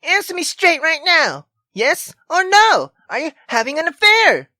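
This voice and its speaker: A woman voicing a furious, drunken, jealous wife in a visual novel, with a shrill, accusing and sarcastic manner. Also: big pitch swings, a little slow, pushed and bright, dry recording, studio quality, full character voice. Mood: angry, demanding.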